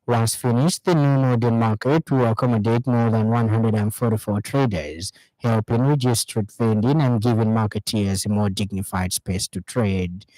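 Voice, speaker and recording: A man with a Zambian accent reading a scripted radio news bulletin in a formal, measured way. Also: mid-range voice, a little mellow, dry recording, rough recording. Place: radio studio.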